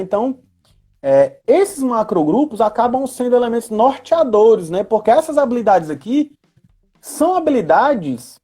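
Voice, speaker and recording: Husky Brazilian woman explaining in casual chat. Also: fairly low pitch, dark tone. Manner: didactic, frequently seeking agreement with tag questions. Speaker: guest explaining role-playing games in education and work.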